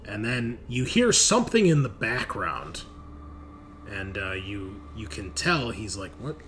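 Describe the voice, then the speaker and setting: A man narrating a tabletop game as game master, descriptive and improvised, pausing as he picks his words. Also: lowish voice, expressive, a bit bright. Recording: clear background noise.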